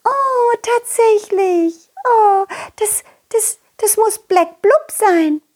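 A woman voicing a chorus of small, excited fish in a children's story, squeaky and tumbling over the words. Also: very slow, full character voice. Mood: amazed.

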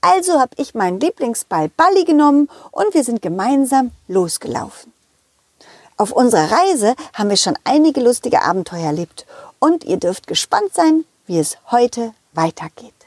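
High, animated woman storyteller. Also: moderate pace, dry recording. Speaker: puppeteer, storyteller. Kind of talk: children's story narration.

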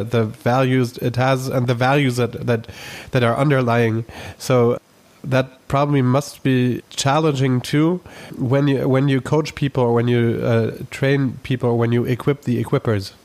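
A man hosting a podcast interview, asking his guest questions in a friendly, measured way. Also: mid-range voice, a little mellow. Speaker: podcast host.

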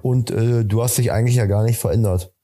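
A man's voice: lowish voice, fairly even intonation, quick pace, warm tone. A man of thirty-one from North Rhine-Westphalia talking casually as a podcast guest, colloquial and often checking for agreement. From North Rhine-Westphalia, Germany. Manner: colloquial, seeks agreement with tag questions. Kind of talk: casual chat.

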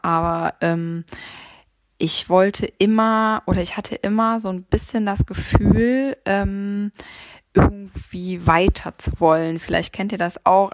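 A woman's voice: lowish voice, no room sound. A young woman from Saarland casually telling her own story with lots of fillers. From Saarland, Germany. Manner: chatty, many fillers, lively retelling.

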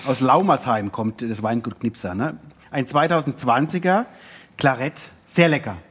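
A man's voice: medium pitch, normal speed, fairly bright tone, husky. A man co-hosting a talk show in a casual, bantering way.

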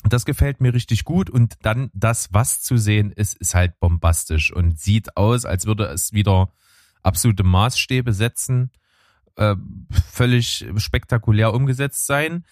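A man's voice: fairly low pitch, unhurried, no room sound, studio quality. A man chatting casually about films and trailers as a co-host, rambling with many fillers. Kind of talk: casual film chat.

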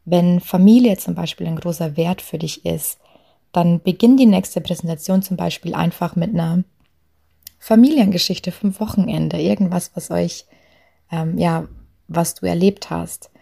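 A young woman with a light Bavarian accent talks through coaching points in a relaxed, chatty way. Her voice sits a little low, with a gravelly fry and a dark, mellow tone. She leans on fillers and small asides. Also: normal speed. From Lower Bavaria, Germany. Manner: chatty, frequent fillers, soft laughs. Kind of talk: talk on authenticity at work.

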